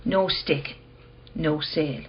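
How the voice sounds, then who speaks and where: An actress playing a cool, probing woman who will not budge, measured and blunt, in a radio play. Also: low-pitched, dull audio. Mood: firm, calm. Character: cool, probing, unyielding female owner of rare mirror.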